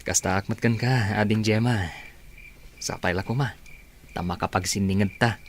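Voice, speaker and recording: A man's voice: lowish voice, fairly even intonation, moderate pace, a little hiss or hum, lightly acted. A man with an Ilocano accent playing an earnest young suitor in love in a radio drama, pleading and emotional. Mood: earnest, courting.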